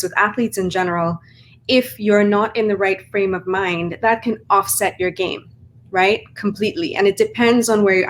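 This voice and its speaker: A woman's voice: mid-range voice, a little hiss or hum. A middle-aged woman from Trinidad and Tobago with a Trinidadian accent, a performance coach giving earnest explanations in an interview. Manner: earnest, explanatory, uses "right?" and "like" often. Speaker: mental performance coach, guest. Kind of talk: conversational interview.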